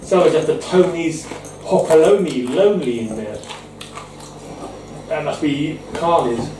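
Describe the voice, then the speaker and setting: A man's voice: on the high side, expressive, a little slow. A middle-aged man with a casual, tipsy, swearing manner, chatting while tasting beers with a friend at home. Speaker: co-host of a beer tasting show. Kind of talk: casual beer tasting chat. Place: home kitchen, indoors. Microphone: fixed camera across the table. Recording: echoey kitchen with faint background hum.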